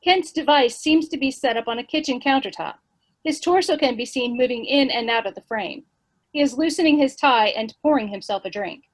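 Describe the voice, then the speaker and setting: A woman reads stage directions in a measured, even way, her voice a little high. The delivery is clear and steady.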